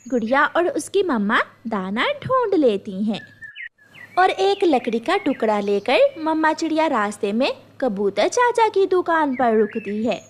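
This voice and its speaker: A woman narrates a children's story in a high voice. She reads the script slowly, with very varied intonation. Slight noise can be heard behind her. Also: big pitch swings.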